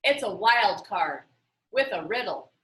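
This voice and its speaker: Woman as a keen, bright-voiced clever girl. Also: medium pitch, animated, unhurried, echoey room. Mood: excited.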